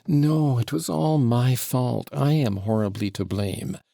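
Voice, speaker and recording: A man's voice: mid-range voice, a little slow, creaky, warm tone, no room sound, clean studio sound, voice pushed up. A man voicing an earnest, remorseful young man who speaks in a sincere, pleading way.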